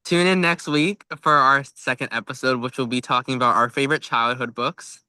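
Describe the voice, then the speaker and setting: A man's voice: medium pitch, steady tone, fairly quick, pushed and bright, clear, resonant tone, dry recording. A young man co-hosting a podcast, chatting informally and peppering his talk with "like.". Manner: chatty, informal, frequent 'like'. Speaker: podcast co-host. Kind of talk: casual podcast chat.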